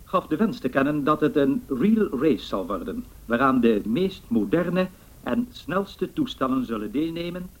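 A formal male newsreader from the 1930s. He reads bulletins in a measured, official way, and his voice is a little husky at a middling pitch. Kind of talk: 1930s radio news bulletin reading.

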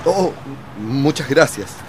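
Guy playing an earnest, slightly strict dad in a family drama, average pitch, loud noise behind. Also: animated, slow pace. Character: father of a family, mildly stern, earnest. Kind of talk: scripted educational drama dialogue. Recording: loud background vehicle noise.

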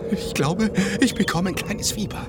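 An actor playing a timid, naive, comic bird-catcher in a radio play, with a jittery, quavering manner. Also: male voice, high voice, normal speed, loud music behind the voice, natural voice. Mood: terrified.

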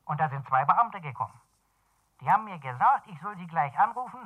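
An actor playing a fussy building caretaker in a 1970s German radio crime play, explaining things in a halting, folksy way over a telephone. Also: male voice, fairly high pitch, lively intonation. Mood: agitated, dutiful. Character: fussy male building caretaker reporting to an owner. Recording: band-limited telephone line in radio play.

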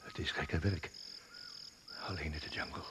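Paranoid jungle castaway: low voice, hurried muttering, noisy old radio sound. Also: male voice, steady tone. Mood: paranoid, agitated. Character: suspicious, frightened man alone in the jungle. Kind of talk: radio drama monologue.

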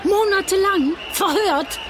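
A woman's voice: very high-pitched, slow pace, noisy background. A woman playing a blunt, passionate young street protester in a naturalistic crime radio drama. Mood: upset, accusing. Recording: loud crowd and music behind the voice. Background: outdoor demonstration crowd.

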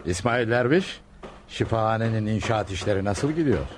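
A man playing a kindly, pious Sufi master in a Turkish radio play, speaking with measured solemnity. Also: lowish voice, expressive, close to an everyday voice. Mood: benevolent. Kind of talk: Turkish radio theater acting. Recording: clear background noise.

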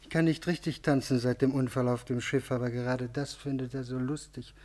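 A man's voice: mid-range voice, steady tone, brisk, warm tone, slight background noise, lightly acted. An actor playing a timid, servile, frail man in his forties in a radio drama, hesitant and stammering, often repeating his words. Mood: anxious, humble. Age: 40s. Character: timid, servile, frail man of forty-three, humble town fool. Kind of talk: German radio drama acting.

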